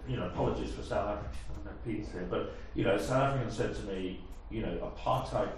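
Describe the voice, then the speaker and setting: Man, fairly low voice, rambling and hesitant, echoey room, distant mic. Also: quick pace. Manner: rambling, hesitant, many fillers. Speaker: audience member asking a question. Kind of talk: thinking aloud in discussion. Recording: echoey room from far off, faint noise.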